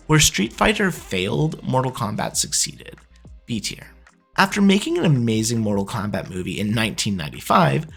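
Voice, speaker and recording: A man's voice: mid-range voice, fairly bright tone. A man in his thirties giving scripted, opinionated commentary in a conversational way, as the host of a review video.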